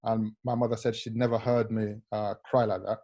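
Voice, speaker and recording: A young man from Nigeria, a rower, telling his life story in an interview in a soft, halting way with many fillers. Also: on the low side, little pitch movement, highs cut off. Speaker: Paralympic rower, guest. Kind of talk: casual interview, personal story.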